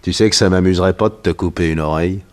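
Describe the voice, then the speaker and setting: Actor as a low-voiced gullible gangster henchman. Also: quick pace, darkish tone, close to an everyday voice. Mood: teasing. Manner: slangy, casually threatening. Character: gullible, teasing gangster henchman guarding a captive. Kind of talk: 1960s French radio crime serial acting.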